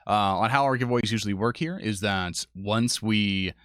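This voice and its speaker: A man's voice: on the low side, moderate pace, a bit bright, smooth, no room sound, clean studio sound. A man hosting a casual livestream, chatting to viewers in an effusive, rambling and thankful way. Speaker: stream host.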